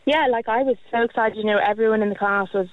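A woman's voice: a little high, fast. A young woman, talking hesitantly with lots of restarts. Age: 20s. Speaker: guest. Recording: tinny phone line.

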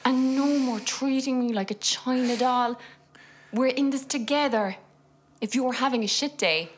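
An actress playing a seriously ill wife and mother who talks in a weary, blunt way that turns forceful and insistent. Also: high voice, measured pace, a little hiss or hum. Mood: resolute. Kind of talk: naturalistic screen drama acting.

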